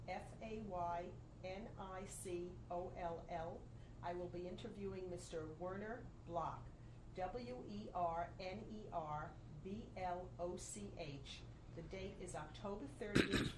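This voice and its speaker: A woman's voice: on the low side, a little slow, background noise. A woman interviewing an older survivor, who formally reads out names, dates and places. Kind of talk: oral history interview.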